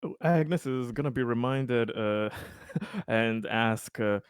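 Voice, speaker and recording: A man playing a tabletop game who speaks hesitantly, pausing on lots of "uh"s. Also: medium pitch, smooth, no room sound, clean studio sound. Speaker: player. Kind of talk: casual tabletop play.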